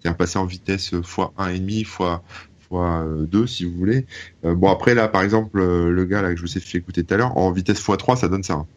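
A man from northern France rambles through a casual podcast chat with plenty of fillers and restarts. His voice sits fairly low, and he airs blunt opinions. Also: steady tone, quick pace, dull audio. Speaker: podcast co-host.

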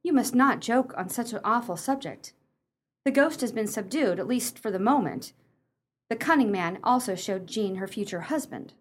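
Woman playing an arch, genteel lady, mid-pitched. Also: expressive, moderate pace, slight background noise, natural voice. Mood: formal, arch. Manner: formal, arch. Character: sceptical, genteel lady, loyal sister with arch wit. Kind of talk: radio play acting.

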